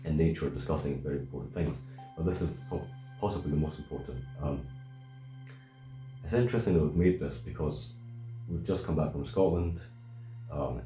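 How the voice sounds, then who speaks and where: Very deep-voiced, thoughtful man in echoey room. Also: mellow. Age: around thirty. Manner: halting, thoughtful, repeats words. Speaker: video host. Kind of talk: reflective casual discussion. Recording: roomy echo, faint music.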